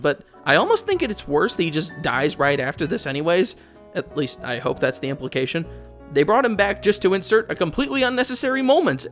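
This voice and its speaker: A man's voice: high-pitched, fairly bright tone. A man giving blunt, sardonic, casually profane video commentary in an opinionated, conversational style. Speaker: video essayist, host. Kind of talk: opinionated game commentary, casual script with asides.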